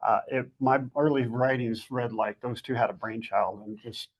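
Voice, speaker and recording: A man with a gravelly, husky voice giving conversational advice as a guest author, in a plain-spoken way with short, emphatic summings-up. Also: mid-range voice, fairly even intonation, normal speed, rough recording.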